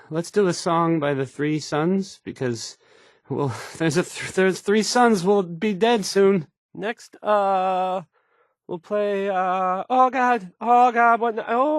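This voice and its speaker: A bumbling young radio DJ for a video game, swinging up and down in pitch as he stammers. He sounds flustered and unsure of himself, often trailing off, in a dry, close studio sound. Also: no room sound. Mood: flustered, fumbling, then panicked. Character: nervous, awkward, bumbling young male radio DJ. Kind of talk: scripted game voice acting, halting radio patter.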